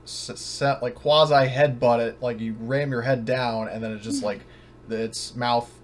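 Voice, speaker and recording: A man's voice: medium pitch, steady tone, a little slow. A man running a tabletop game as game master, speaking casually and hesitantly, stumbling as he works out the rules. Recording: noisy home recording of online game session.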